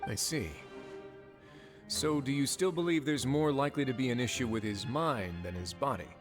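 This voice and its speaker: An actor playing a composed, authoritative prison warden in a video game, speaking formally with measured courtesy. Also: male voice, mid-range voice, over music, close to an everyday voice. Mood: composed, courteous.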